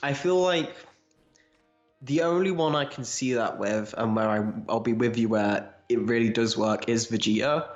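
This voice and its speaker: A man's voice: mid-range voice, moderate pace, dull audio, faint music behind. A man in casual analytical discussion as a podcast co-host, rambling a little as he thinks aloud.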